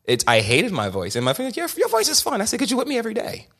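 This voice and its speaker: A man's voice: high voice, huge pitch swings, fast, bright, forceful tone, husky. A young man hosting a podcast, telling his story in a candid, emphatic way and often restarting and repeating words. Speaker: podcast host.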